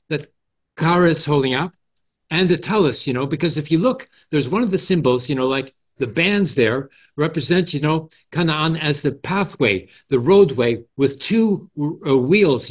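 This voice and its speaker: Older man in halting casual discussion. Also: on the high side. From Canada. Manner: halting, frequent "you know". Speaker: forum participant and moderator. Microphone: headset on video call.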